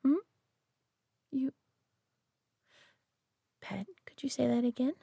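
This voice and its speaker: A woman's voice: high voice, slow pace, dry recording, heavily acted. A woman voicing a prideful, doting alien who owns a human pet, teasing and condescending yet affectionate in an intimate audio roleplay. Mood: delighted, coaxing. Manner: teasing, condescending, affectionate, often hushed and breathy.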